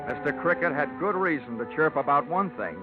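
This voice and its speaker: An actor playing a wry, hard-boiled insurance investigator, wisecracking and dry, in old-time radio detective style. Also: male voice, a little high, animated, fairly quick, lightly acted. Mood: wry narrating. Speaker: lead actor and narrator. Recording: dull vintage radio recording with music.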